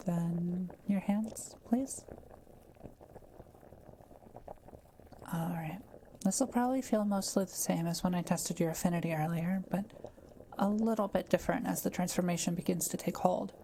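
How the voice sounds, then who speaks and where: A kind, quiet witch of the forest, played by a woman in an intimate roleplay audio drama. Her voice is slightly low and very mellow, with careful, soft-spoken, reassuring delivery. Some faint noise sits behind her. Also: normal speed, close to an everyday voice. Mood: gently reassuring.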